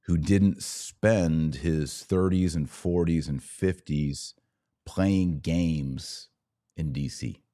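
American man, very low voice. Podcast host, conversational but preachy, talking faith and politics to live viewers. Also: dark tone. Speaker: podcast host and religious commentator. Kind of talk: live religious and political commentary, answering viewer comments.

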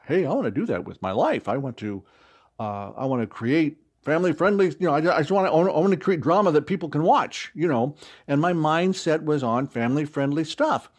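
A man giving casual recorded interview answers as a guest, rambling and expressive, with a joking storyteller's way of talking. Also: fairly high pitch. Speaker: interview guest, audio drama writer and actor.

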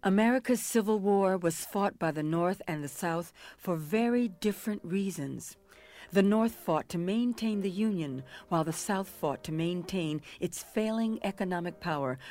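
Mellow woman narrating history. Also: medium pitch.

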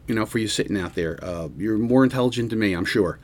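A man in his sixties hosting a radio show, musing aloud in an earnest, halting way with many restarts. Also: lowish voice. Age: 60s. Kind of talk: reflective casual radio monologue.

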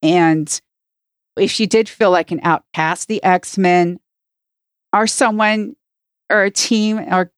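A woman's voice: fairly low pitch, steady tone, slow, long pauses, clean tone, no room sound, studio quality. A woman co-hosting a podcast, talking in a casual discussion style. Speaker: podcast co-host.

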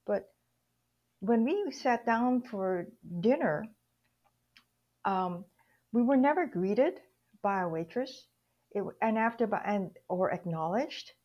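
An older woman from Hawaii telling personal stories as an interview guest, reflective and halting, with a husky voice. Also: medium pitch, expressive, highs cut off. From Hawaii, United States. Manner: reflective, halting, acts out remembered dialogue.

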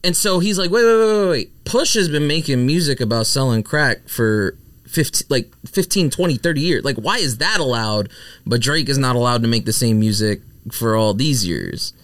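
A man's voice: mid-range voice, lively intonation, normal speed, a bit bright, no echo, clean studio sound. A man co-hosting a podcast, sharing opinions in casual chat with lots of "like" and "I mean". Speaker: podcast co-host.

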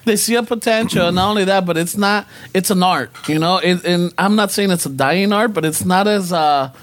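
High-voiced joking man. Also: moderate pace, fairly bright tone. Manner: joking, slangy, slips between English and Spanish. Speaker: podcast co-host. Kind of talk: casual chat.

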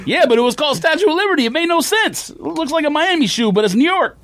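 A man's voice: very high voice, lively intonation, fast talker, noisy background. A man co-hosting a podcast who chats casually and bluntly disagrees with his friends. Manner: blunt, contrarian. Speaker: podcast co-host. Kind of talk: casual chat.

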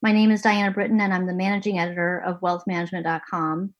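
A woman's voice: on the low side, flat delivery, fast talker, highs cut off. A woman hosting an interview podcast from her home basement, talking earnestly with a few restarts. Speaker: podcast host and managing editor.

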